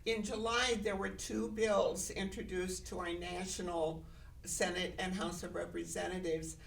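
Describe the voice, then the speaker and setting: Gravelly woman guest, heard from afar. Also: on the low side, level tone, a little slow, a little hiss or hum. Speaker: guest, advocate. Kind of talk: casual live TV interview. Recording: echoey, distant mic.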